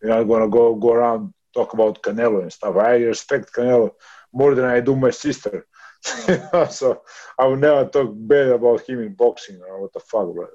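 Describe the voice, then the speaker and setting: A man's voice: mid-range voice, brisk, mellow, highs cut off. Swearing man in his thirties, laughing often. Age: 30s. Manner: rough, swearing, laughs often, calls others brother. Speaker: boxer. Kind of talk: casual interview.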